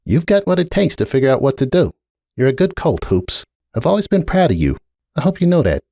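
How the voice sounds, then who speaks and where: A man's voice: fairly high pitch, very animated, a little fast, vocal fry, warm tone, dry recording, clean studio sound, pitched above the performer's own voice, heavily acted. A man voicing a guarded, brooding middle-aged pony mare, a blunt aunt with a terse, world-weary way of talking, in a dramatic story reading. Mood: quietly proud. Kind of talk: dramatic audiobook character reading.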